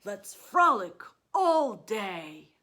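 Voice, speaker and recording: A woman plays a big friendly bear in a children's storybook, grateful and full of bounce. He speaks emphatically, stretching his words out slowly. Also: medium pitch, very animated, exaggerated voice. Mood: exuberant. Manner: loud, emphatic, drawn-out delivery. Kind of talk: children's storybook read-aloud.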